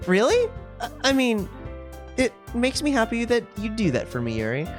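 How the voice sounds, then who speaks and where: Feisty manga-loving teen girl, defensive, stammers when flustered, animated pitch, faint music behind woman performing. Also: moderate pace.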